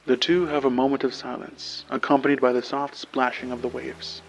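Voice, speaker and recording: A man's voice: mid-range voice, flat delivery, normal speed, gravelly, poor audio. A man working as a podcast host and narrator, talking in a plain, informal storytelling way. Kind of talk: slow narration and casual sign-off. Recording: faint music behind the voice.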